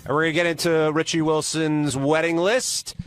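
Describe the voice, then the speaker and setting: A man's voice: on the high side, little pitch movement, normal speed, over music. A man hosting a call-in radio show in a casual, conversational style.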